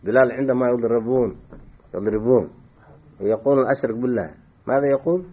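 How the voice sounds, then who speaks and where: A man's voice: medium pitch, fast talker, dark tone, husky, highs cut off. A man teaching a classical Arabic grammar lesson, explaining points and quizzing his students with short questions.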